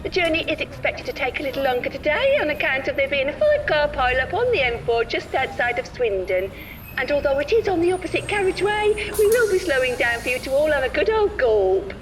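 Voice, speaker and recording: An actress playing a cheerily officious coach tour guide with a British accent, giving sing-song announcer patter in a comic audio drama. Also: female voice, high-pitched, very animated, brisk, soft background music, poor audio. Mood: bright, officious.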